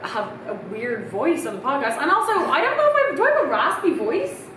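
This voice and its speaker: A woman in her thirties chatting casually as a podcast co-host, stopping now and then to clear her throat. Her voice sits a little high for a woman and lands from some way off the mic. A very echoey room and clear background noise surround her. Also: wildly swooping pitch, fairly quick, recorded from a distance. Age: 30s. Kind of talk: casual chat.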